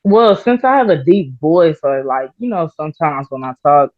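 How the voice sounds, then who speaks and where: A woman rapper answering interview questions in a halting, soft-spoken way, with many fillers and pauses. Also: low-pitched, lively intonation, no room sound. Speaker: rapper guest. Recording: slightly dull, muffled audio.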